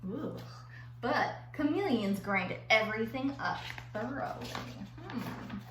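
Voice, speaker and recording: A woman with a bright, forceful voice reads aloud to children like a playful teacher. Her pitch sits fairly high and swings widely as she stretches words for emphasis and drops in chatty asides. The room sounds very echoey. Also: expressive, slow. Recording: roomy echo with faint background noise.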